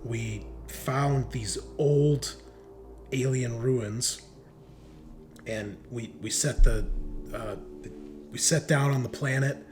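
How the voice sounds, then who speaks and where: A man's voice: mid-range voice, over music, close to an everyday voice. A man playing a shaken, dazed crewman just out of cryosleep in a tabletop game, stammering through broken recollections. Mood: halting, uneasy. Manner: halting, stammering, fragmented recollection.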